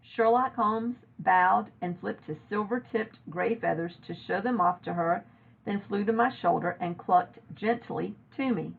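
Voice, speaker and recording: A woman reading a children's novel aloud in an expressive narrating style, like a teacher reading to a class. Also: medium pitch, a little slow, vocal fry, husky. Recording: narrow, phone-like lo-fi audio.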